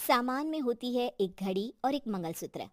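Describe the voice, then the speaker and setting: A woman's voice: fairly high pitch, expressive, normal speed, dry recording, studio quality. A woman giving a scripted storyteller's narration of a family moral tale.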